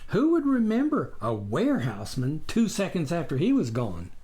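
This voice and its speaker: Animated male audiobook narrator, lively pitch. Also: normal speed. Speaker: author reading his own memoir.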